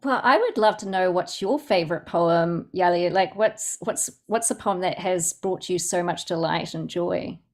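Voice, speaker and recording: A woman with a Southland New Zealand accent and a slightly low voice speaks informally as a guest poet. She is thoughtful and halting, often pausing to search for the right word. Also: darkish tone. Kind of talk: informal question-and-answer talk at a poetry event.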